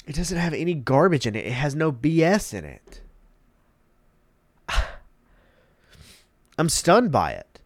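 A man's voice: on the high side, no echo. A man hosting a casual gaming commentary, congested and emphatic, repeating words for emphasis. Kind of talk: casual commentary reading articles.

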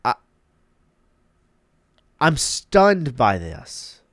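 A man's voice: medium pitch, wildly swooping pitch, very slow, a bit bright, no echo, clean studio sound. A man hosting a casual gaming commentary, congested and emphatic, repeating words for emphasis. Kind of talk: casual commentary reading articles.

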